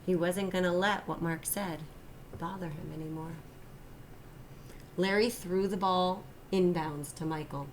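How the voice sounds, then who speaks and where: A woman reading a children's story aloud like a teacher, expressive and deliberate, stressing the key phrases. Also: on the low side, a little hiss or hum. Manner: expressive, deliberate, emphasizes and repeats key lines. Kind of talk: children's read-aloud narration.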